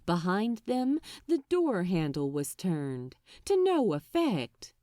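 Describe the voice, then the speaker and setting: Audiobook narrator, woman: expressive scripted fiction read, slightly slow, recorded close and dry. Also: mid-range voice, dry recording.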